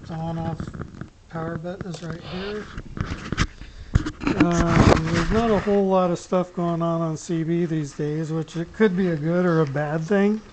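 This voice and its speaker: A middle-aged hobbyist giving a casual, unscripted gear demonstration, rambling and often pausing mid-sentence. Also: medium pitch, fairly even intonation. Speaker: amateur radio hobbyist giving a gear demo. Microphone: small camera pinned to t-shirt. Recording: noisy clip-on camera sound with clicks.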